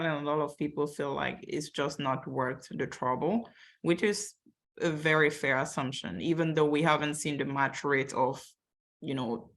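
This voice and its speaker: A woman from Cameroon in her twenties with a low-pitched voice moderates a webinar. She reads out audience questions and chimes in chattily with her own experience as a medical student. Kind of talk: reading questions from chat.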